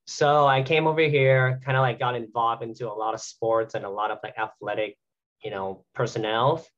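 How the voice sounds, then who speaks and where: A man's voice: mid-range voice, fairly even intonation, normal speed, fairly bright tone, dull audio. A young man from Vietnam, a graduate student guest, talking earnestly and at length with many fillers over a video call. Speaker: guest, athletic training student. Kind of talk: casual remote interview.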